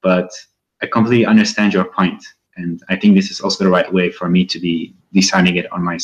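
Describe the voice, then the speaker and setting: A man's voice: low-pitched, flat delivery, quick pace. A man whose first language is Dutch, calling in to a show and speaking sincerely, with some hesitation. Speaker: caller. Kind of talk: phone-in conversation. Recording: dull lo-fi call audio.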